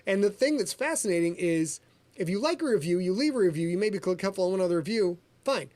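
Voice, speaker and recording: A man hosting a casual podcast livestream, talking in an excitable, rambling, self-deprecating way. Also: high-pitched, fast. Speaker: podcast host and author.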